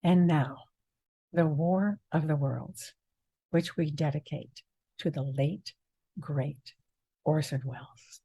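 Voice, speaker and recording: An older woman, the director, giving a measured, formal spoken introduction to a radio play. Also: sits low, steady tone, warm tone, husky.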